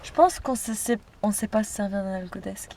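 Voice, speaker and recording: A woman's voice: medium pitch, fairly quick, darkish tone, a little hiss or hum. A young woman, tipsy and giggly, chatting playfully in a car at night. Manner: giggly, playful, repeats words. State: drunk. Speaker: vlogger. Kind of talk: tipsy casual chat.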